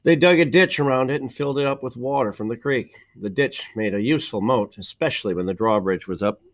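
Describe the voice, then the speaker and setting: Guy with a mid-range voice reading a kids picture book out loud, measured storytelling, leans on the key words. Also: mid-range voice. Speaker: storybook reader. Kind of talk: expressive read-aloud of a children's picture book.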